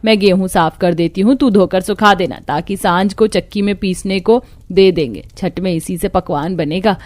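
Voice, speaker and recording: A woman's voice: medium pitch, normal speed, a little mellow, no room sound, natural voice. A woman voicing an ailing, devout old mother-in-law in a cartoon, weary and plaintive but stubborn. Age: older.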